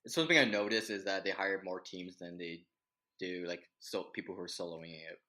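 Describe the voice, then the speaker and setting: A reserved young man who speaks in an even monotone. His voice sits fairly low yet sounds bright and forceful. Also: fairly quick, low-quality recording. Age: young adult. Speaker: guest. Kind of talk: casual podcast chat.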